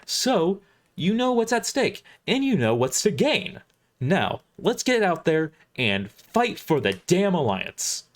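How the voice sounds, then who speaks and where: A man's voice: high-pitched, sing-song, slow, voice pushed up, exaggerated voice. A man playing a blunt, wary space fleet admiral giving commands in a sci-fi audio drama. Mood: rallying.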